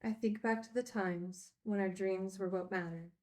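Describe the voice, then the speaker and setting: A woman's voice: mid-range voice, steady tone, moderate pace, a little mellow, close to an everyday voice. Woman plays female recovering addict, group-home teacher; candid, wry, naturalistic film drama, mid-range voice. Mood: sorrowful. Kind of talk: naturalistic screenplay table read.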